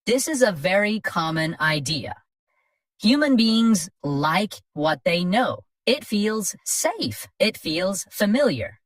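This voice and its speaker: A woman narrating a scripted English lesson for learners in simple, clearly spaced sentences. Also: fairly low pitch, dry recording, recorded from a distance. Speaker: English teacher.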